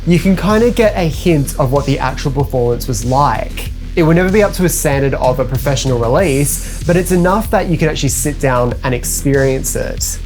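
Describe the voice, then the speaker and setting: A man's voice: medium pitch, animated, brisk, a little mellow, faint music behind. A man hosting a video, narrating a script in an informal, explanatory way. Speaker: video host.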